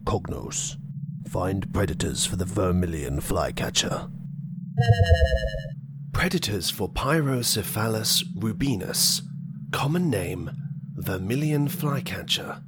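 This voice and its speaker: A man playing a methodical alien telepath in an audio drama, deliberate and formal, coldly advising. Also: low voice, big pitch swings, heavily acted. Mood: clinical, methodical. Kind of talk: scripted audio drama acting. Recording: loud ambient noise behind. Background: loud ambience.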